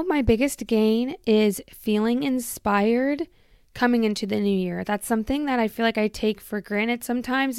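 Woman, podcast host, casual solo chat; candid and rambly, slightly tired, smooth clean voice on a close, dry mic. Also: mid-range voice, a little mellow, no echo. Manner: chatty and candid, heavy use of "like", self-interrupting. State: slightly tired, recovering from a long illness.

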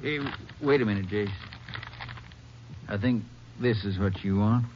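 An American actor playing a sympathetic, plain-spoken middle-aged small-town Texas sheriff with a folksy manner, in a 1950s western radio drama. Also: male voice, on the low side, a little slow, lightly acted. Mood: somber. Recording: muffled, noisy 1950s radio recording.

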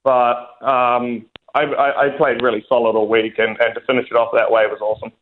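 A man's voice: mid-range voice, little pitch movement, fairly quick, slight background noise. A young man from New Zealand with a New Zealand accent, a golfer answering interview questions casually, with many fillers and restarts. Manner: hesitant, many fillers and restarts, laughs easily. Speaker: professional golfer, guest. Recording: tinny phone line.

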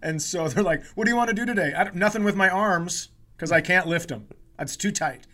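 A man's voice: sits high, a little fast, sharp tone. A man co-hosting a comedy podcast, telling self-deprecating stories in casual, joking banter. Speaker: podcast co-host.

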